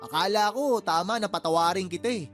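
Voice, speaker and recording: A man's voice: high-pitched, a little fast, a bit bright, faint music behind. A man playing a fed-up, exasperated uncle and boss in a Tagalog radio drama, scolding his sister with heated, sarcastic questions. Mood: angry, fed up.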